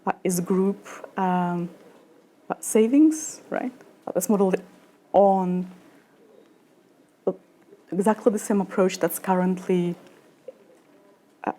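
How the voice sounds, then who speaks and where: A woman in her thirties giving a conference talk and answering questions, halting with many fillers and restarts. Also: medium pitch, darkish tone, a little hiss or hum. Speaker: conference speaker. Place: lecture room at a conference.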